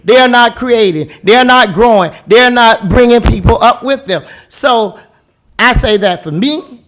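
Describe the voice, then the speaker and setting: A man giving a passionate, emphatic, loud speech as a board member at a public city meeting. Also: very high-pitched, moderate pace. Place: council chamber.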